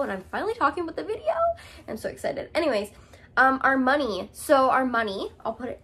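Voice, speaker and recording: A young Canadian woman talking straight to her camera in a loose, chatty vlog. Her voice sits a little high, and she fills her sentences with "um"s and "like"s as she goes. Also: fairly bright tone. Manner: chatty, with many "um"s and "like"s. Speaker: vlogger. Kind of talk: casual chat to camera.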